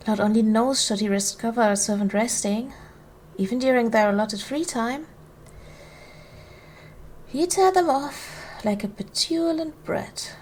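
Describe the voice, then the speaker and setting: A woman playing a gracious, commanding duchess in an ASMR roleplay, speaking in a formal, measured, soothing way to a servant she protects. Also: fairly high pitch, darkish tone, slight background noise, close to an everyday voice, low-quality recording. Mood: firm, indignant. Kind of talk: ASMR roleplay, soft formal period speech.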